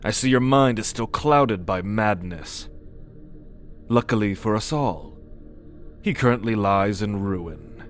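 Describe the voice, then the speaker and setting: A man's voice: lowish voice, takes it slowly, soft background music. A man playing a religious zealot in an audio roleplay, solemn, preachy and condescending. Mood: stern, pious. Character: male religious zealot, sternly pious and condescending, craving favour. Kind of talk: scripted audio roleplay, ASMR drama.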